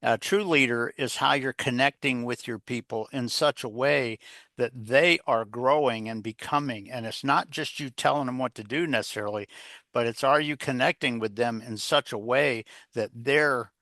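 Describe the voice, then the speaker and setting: A man's voice: mid-range voice, moderate pace, sharp tone, dry recording, clean studio sound. A man speaking as an interview guest and coach, explaining his views in an emphatic, rambling, folksy way with frequent repetition. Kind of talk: conversational explanation of leadership advice.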